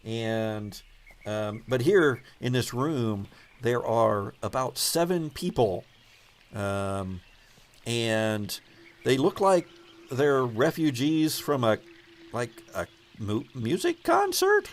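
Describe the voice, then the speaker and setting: A man acting as game master and host, improvising narration and explaining rules in a halting, thinking-aloud way. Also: mid-range voice, very animated.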